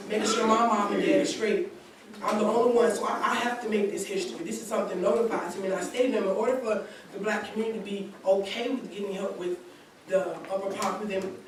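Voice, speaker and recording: A young woman in her twenties gives an earnest testimony, her long sentences full of restarts. She sounds far from the microphone, and her voice rings through a very echoey church hall with clear background noise behind her. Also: mid-range voice. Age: 20s. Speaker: college student speaking at a community seminar. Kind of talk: personal testimony at a gathering.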